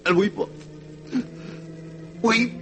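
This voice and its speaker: An actor playing a dying murderer, halting and gasping, in a melodramatic 1940s radio horror drama. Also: male voice, a bit bright, low-quality recording. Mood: bitter, fading. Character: dying male murderer grasping a bitter irony. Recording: vintage 1940s radio recording, music behind.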